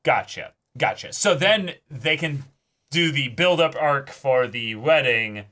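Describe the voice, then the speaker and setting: A man co-hosting an anime reaction show in casual banter, excitable, swearing freely and repeating words for emphasis. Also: medium pitch, slow. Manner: casual profanity.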